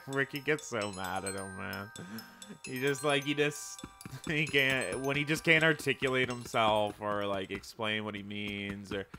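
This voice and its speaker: A man's voice: medium pitch, steady tone, unhurried. A man in his thirties streaming a game from a small room, chatting casually, rambling and laughing often, swearing freely. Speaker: gameplay streamer. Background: loud game music.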